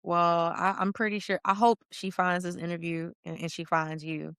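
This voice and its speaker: Casual, affirming woman in her thirties, interview host, smooth voice on a dry mic. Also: lowish voice, little pitch movement, normal speed, a bit bright, no room sound. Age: 30s. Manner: friendly, affirming, lots of "okay" and "mm-hmm". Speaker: podcast host.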